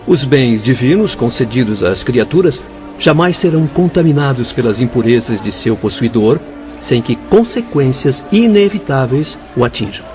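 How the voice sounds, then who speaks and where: A man acting as a calm spirit instructor in a radio drama, speaking. He delivers moral lessons formally and solemnly. The sound is muffled, with faint music behind. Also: mid-range voice, natural voice. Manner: formal, sententious. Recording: dull lo-fi audio, faint music.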